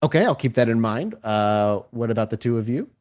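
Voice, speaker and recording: A man with a medium-pitched voice runs a tabletop roleplaying game. He describes scenes in an improvised, conversational way, as game master to his players. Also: lively intonation, brisk, a little mellow.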